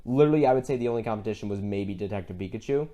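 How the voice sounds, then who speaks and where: A man's voice: fairly low pitch, racing, warm tone. A man co-hosting an enthusiastic, casual discussion about films, opinionated and stressing his points with repetition. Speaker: podcast co-host.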